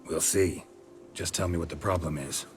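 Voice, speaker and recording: An actor playing a gruff, laconic witcher in a video game, speaking in terse, dry, clipped lines. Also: deep voice, normal speed, faint music behind, close to an everyday voice. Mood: matter-of-fact. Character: gruff, laconic male witcher, a monster hunter.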